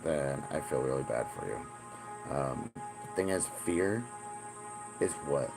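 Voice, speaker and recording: A man's voice: extremely low pitch, animated, takes it slowly, gravelly, over music. A man in his forties talking in a rambling, profane monologue, often repeating himself. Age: 40s. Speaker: self-styled spiritual teacher.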